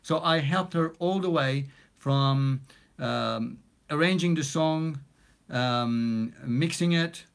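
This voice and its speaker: A man's voice: fairly high pitch, slow, vocal fry, clean tone. Man, 40s, non-native English accent; casual, filler-heavy live-stream chat, phone mic in small studio. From Australia. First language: not English. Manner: chatty, reads out viewer comments with many fillers. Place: small home recording studio, indoors. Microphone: phone camera at arm's length.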